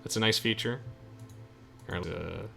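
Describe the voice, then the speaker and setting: A man's voice: deep voice, normal speed, vocal fry, pushed and bright. A young man hosting a let's play, chatting casually with friends as he comments on the game. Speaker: host of a let's play video. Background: game music.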